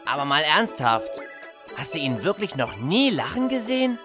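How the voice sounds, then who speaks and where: A man's voice: on the high side, big pitch swings, moderate pace, music in the background. A man voicing a nervous, whiny young male hedgehog in a cartoon fandub, flustered and dramatic. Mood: flustered, cheeky. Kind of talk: German fandub cartoon acting.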